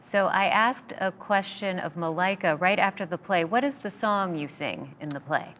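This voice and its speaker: A woman with a lowish voice moderates a talkback over a video call. She asks her questions gently and appreciatively, with faint background noise behind her. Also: normal speed. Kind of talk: interview questions.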